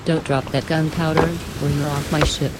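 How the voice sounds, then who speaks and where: A woman's voice: deep voice, little pitch movement, moderate pace, very noisy, natural voice. Bossy, curt female ship captain, read by a woman. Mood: commanding. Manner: commanding, curt.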